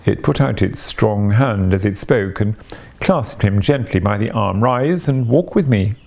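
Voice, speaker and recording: A man reading classic fiction aloud as an audiobook, with measured, dramatic phrasing. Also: on the low side, vocal fry, a little mellow. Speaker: story reader.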